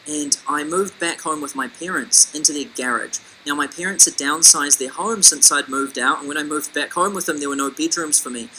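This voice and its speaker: A young man with a New Zealand accent, presenting an online business course in an upbeat, pitchy way and calling viewers "guys". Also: bright, forceful tone, slight background noise, rough recording. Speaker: business coach.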